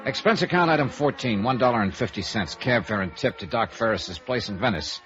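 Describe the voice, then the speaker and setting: An actor playing a wry, hard-boiled insurance investigator in a 1950s radio noir, with clipped, dry, probing delivery and first-person narration. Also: male voice, lowish voice, animated, a little fast, natural voice. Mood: dry, matter-of-fact. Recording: dull vintage radio recording with music.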